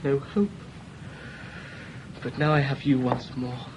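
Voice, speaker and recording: An actor playing a needy, bitter young writer in a theatrical period drama, speaking in formal, histrionic diction. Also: male voice, medium pitch, little pitch movement, a little slow, highs cut off, noisy background, lightly acted. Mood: tender, wounded. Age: young adult.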